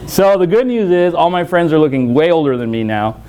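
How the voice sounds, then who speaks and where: A man in his forties from El Salvador, giving a self-deprecating conference talk in a university hall, joking often and pausing on many fillers. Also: on the high side, expressive, clean tone, lo-fi sound. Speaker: conference speaker.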